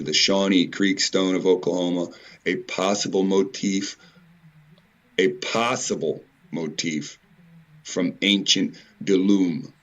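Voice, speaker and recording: A man giving informal, rambling commentary in a halting way, repeating words and swearing mildly now and then. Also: sits low, lo-fi sound. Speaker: video presenter. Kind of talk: informal rambling commentary over on-screen images.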